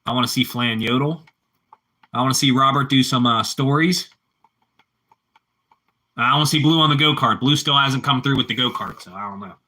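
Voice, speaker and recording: A man's voice: medium pitch, normal speed, pauses often, pushed and bright. A man with a Pittsburgh accent chatting casually with live-stream viewers, rambling and repeating himself as he answers the chat.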